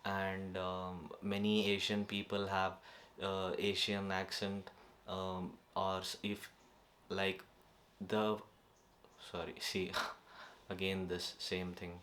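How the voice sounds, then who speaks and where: A man's voice: sits low, monotone, slow pace, pauses often, fairly bright tone, slight background noise. A young man with an Indian accent, a native Kannada speaker, talking casually to camera in a vlog, hesitant and full of fillers and restarts. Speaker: vlogger. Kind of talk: casual self-improvement vlog.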